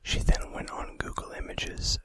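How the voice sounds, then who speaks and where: A man in a soft, breathy, unvoiced whisper, reading out facts in an unhurried, casual ASMR style, close to the microphone. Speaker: ASMR video host.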